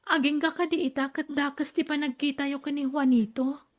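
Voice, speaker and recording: Actress as a high-voiced, hesitant young woman. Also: steady tone, lightly acted. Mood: careful. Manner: hesitant, halting. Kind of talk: Ilocano radio drama acting.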